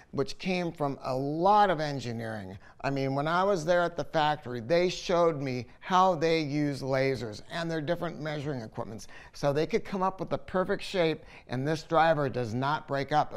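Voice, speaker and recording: Older man, higher-pitched voice, emphatic reviewer. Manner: conversational, opinionated, emphatic with repeated words, casually sweary. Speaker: audio dealer presenting a product review. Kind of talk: informal video product review, talking to camera. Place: listening room at home.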